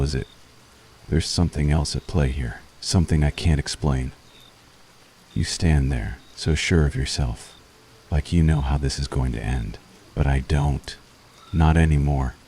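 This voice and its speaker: A man playing a weary, conflicted witch hunter in an intimate ASMR roleplay, speaking softly and earnestly to the enemy he has grown tender toward. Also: extremely low pitch. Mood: conflicted, tense. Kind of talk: ASMR boyfriend roleplay, intimate scripted monologue.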